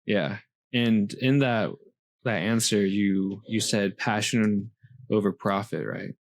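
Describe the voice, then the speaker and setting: A man hosting a podcast interview, relaxed and encouraging, poking fun at his own questions. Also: fairly low pitch, slow, no echo. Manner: relaxed, encouraging, self-deprecating. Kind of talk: casual interview chat.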